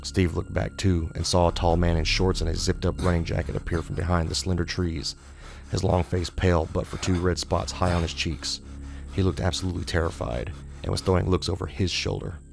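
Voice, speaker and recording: Male horror narrator, very low and creaky, steady delivery, music underneath. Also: a little fast. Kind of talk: scripted horror audiobook narration.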